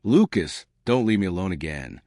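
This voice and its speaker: A man voicing a clinging, reproachful ghost woman in a horror story, with a soft, eerie manner. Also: sits low, very animated, normal speed, no room sound, clean studio sound. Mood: pleading.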